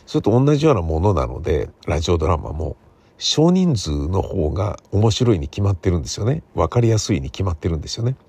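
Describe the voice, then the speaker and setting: A man talking casually on his own, thinking aloud in a rambling, self-correcting way with a gravelly, husky voice. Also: sits low, sing-song, fast talker, mellow. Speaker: radio drama writer and theatre playwright.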